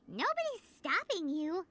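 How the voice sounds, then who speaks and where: A woman voicing a tiny, cheeky, childlike flying companion in a video game, with a squeaky, cute, outspoken delivery. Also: heavy background noise, heavily acted. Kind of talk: video game character acting.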